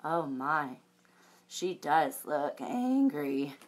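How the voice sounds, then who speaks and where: A woman in her thirties reading a picture book aloud to children, expressive, with chatty asides to young listeners. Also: deep voice, drawn-out words. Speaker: library story time presenter.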